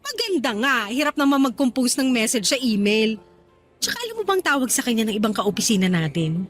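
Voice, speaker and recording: An actress playing a gossipy, catty young office friend in a radio drama, with a mocking, chatty delivery. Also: female voice, fairly high pitch, big pitch swings, a little fast, faint music behind. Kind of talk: Tagalog radio drama dialogue.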